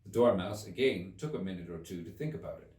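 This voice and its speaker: A man reading a classic children's story aloud as expressive scripted narration. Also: low voice, fast talker. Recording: roomy echo, distant mic.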